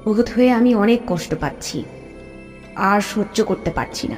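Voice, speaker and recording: A woman's voice: mid-range voice, animated, measured pace, a little mellow, natural voice. Woman acting a sorrowful ghost, drenched in reverb. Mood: sorrowful, pleading. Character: sorrowful female ghost of a murdered young widow. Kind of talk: horror cartoon voice acting. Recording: long hall reverb, music behind.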